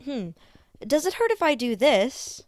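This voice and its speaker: A woman's voice: sits high, big pitch swings, unhurried, soft background music, voice pushed up. A woman voicing a cheerful, teasing male robot attendant in a theatrical fan fiction audio story. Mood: concerned, playful.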